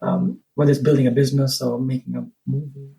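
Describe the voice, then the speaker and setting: A man's voice: medium pitch, flat delivery, fast talker, vocal fry, dark tone, low-quality recording. A man from Sri Lanka, a podcast guest thinking aloud in casual conversation, often checking in with "right?" and "you know".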